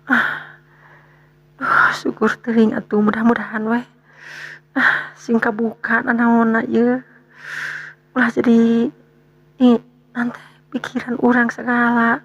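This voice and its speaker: An actress playing an anxious, complaining middle-aged wife in a radio drama, speaking in a fretful, questioning way on a dull recording. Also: female voice, fairly high pitch, completely flat delivery, takes it slowly, long pauses, fairly bright tone, a little hiss or hum. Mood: relieved, anxious. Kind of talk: radio storytelling drama dialogue.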